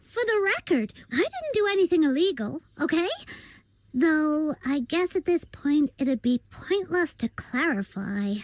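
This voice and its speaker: A tiny, bossy girl sidekick from a video game, pipes up in an extremely high voice. She is brash and excitable, with lively swings in pitch and a dry, close sound. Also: measured pace, no echo. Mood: rattled, defensive. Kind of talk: video game character acting.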